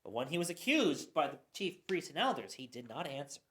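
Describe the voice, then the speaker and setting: Low-voiced woman reading scripture aloud. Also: fairly quick. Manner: expressive reading with occasional stumbles. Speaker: scripture reader. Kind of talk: dramatic Bible reading. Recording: roomy echo.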